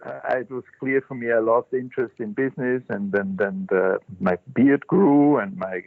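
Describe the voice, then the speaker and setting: An older man, a Zen teacher telling his life story as an interview guest, halting and thoughtful with many restarts. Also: medium pitch, creaky, no room sound. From Switzerland. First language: German. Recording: dry, tinny phone-line sound.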